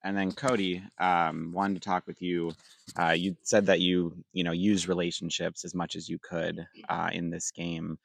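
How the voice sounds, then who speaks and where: Low gravelly guy, flat voice, polite questions with lots of ums. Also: moderate pace, no echo, studio quality. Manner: hesitant, polite, many ums and likes. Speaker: jury member. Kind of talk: asking questions at a game final.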